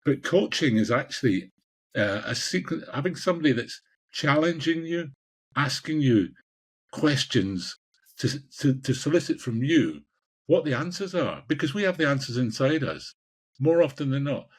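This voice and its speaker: A Scottish man in his sixties with a husky voice, answering interview questions in a passionate, emphatic way and repeating phrases for emphasis. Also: medium pitch, animated, moderate pace. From Scotland. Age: 60s. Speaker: executive coach, podcast guest.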